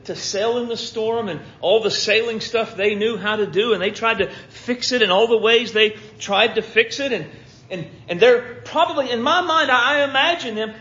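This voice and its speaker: A man's voice: extremely high pitch, pushed and bright, muffled recording. An American man preaching a sermon in a conversational way, repeating phrases for emphasis. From United States.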